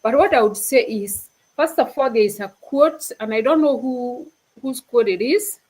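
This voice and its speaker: A woman's voice: fairly high pitch, normal speed, a little mellow, slightly rough. A young woman from Kenya giving an earnest, casual motivational talk as a panel speaker on a video call. She often restarts her sentences and laughs easily.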